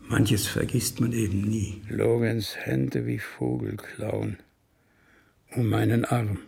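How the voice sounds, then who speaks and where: An actor playing a weary, resentful old man giving testimony in a radio drama, deliberate and terse, with a creaky, gravelly voice. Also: on the low side, flat delivery, darkish tone, heavily acted. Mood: weary, resigned.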